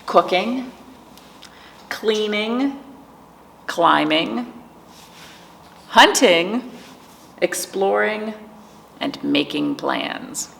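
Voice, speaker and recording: A woman librarian hosting a virtual storytime, speaking gently to children, asking them simple questions and reading a picture book aloud. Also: medium pitch, expressive, drawn-out words, creaky, long pauses, slight background noise.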